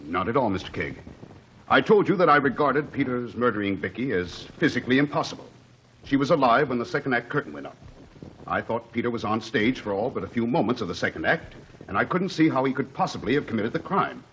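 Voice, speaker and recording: A man's voice: medium pitch, lively intonation, fast, a bit bright, a little hiss or hum, natural voice. A man playing a hesitant, cornered witness. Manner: hesitant, stammering. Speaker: witness under cross-examination. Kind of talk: 1940s radio drama acting. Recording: dull lo-fi old radio broadcast.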